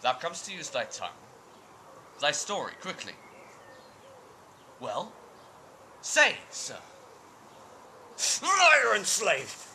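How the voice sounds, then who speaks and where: Guy doing brooding doomed shakespeare king, intense stagey speech, really varied pitch, bit of noise behind, homemade video. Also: big pitch swings, a little slow, long pauses, full character voice. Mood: threatening, doubtful. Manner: theatrical, intense, shifting between hushed and outbursts. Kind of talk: Shakespearean tragic stage monologue. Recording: amateur home video with background noise. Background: birds and ambient noise.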